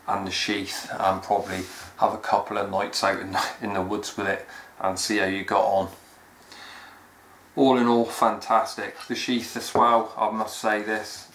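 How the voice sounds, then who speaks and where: A man's voice: fairly low pitch, fairly bright tone. A man giving a plain-spoken, enthusiastic review of a knife in a casual chatty way. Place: indoors at a wooden table. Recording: echoey room with faint background noise.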